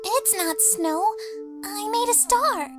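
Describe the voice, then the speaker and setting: A woman voicing an earnest, gentle, wistful little girl pony in a cartoon. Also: very high voice, lively intonation, slow pace, over music, heavily acted. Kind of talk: animated cartoon acting.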